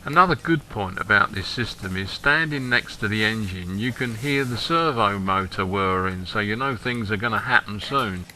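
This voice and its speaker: A man narrating a hobby product demonstration step by step, in a plain-spoken, deliberate way with hesitant pauses. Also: fairly low pitch, noisy background. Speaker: hobbyist presenter demonstrating a model train radio control.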